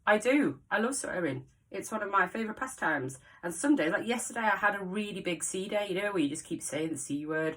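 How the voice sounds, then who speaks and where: A woman's voice: fairly low pitch, distant mic. Chatty woman in her forties with a mild Scouse accent. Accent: mild Wirral Scouse. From the Wirral, England. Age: 40s. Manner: frank, jokey, swears freely, laughs often. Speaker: local vlogger. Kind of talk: casual chatty monologue to camera.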